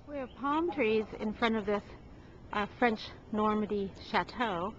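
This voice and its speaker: A woman's voice: mid-range voice, measured pace. A woman narrating a casual walking tour of houses, admiring and chatty, with frequent ums and uhs. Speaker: real estate agent giving a home tour. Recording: dull lo-fi audio with clear background noise.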